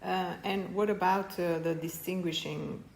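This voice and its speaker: Halting woman interviewer, gravelly voice. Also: on the low side, steady tone, darkish tone, echoey room, slight background noise, rough recording. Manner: halting questions, frequent backchannel. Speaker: student interviewer. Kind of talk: interview questions.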